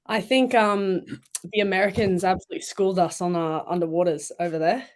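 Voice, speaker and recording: A young woman from Thailand and Malaysia talks casually as a guest, her voice sitting fairly low for a woman. She rambles easily, with plenty of "like" and "um" between her thoughts. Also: a little fast. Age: young adult. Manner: chatty, relaxed, many fillers and "like"s. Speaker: guest swimmer. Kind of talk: casual interview chat.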